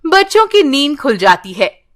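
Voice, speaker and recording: A woman narrating a scripted moral story with slow, expressive, dramatic delivery. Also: sits high.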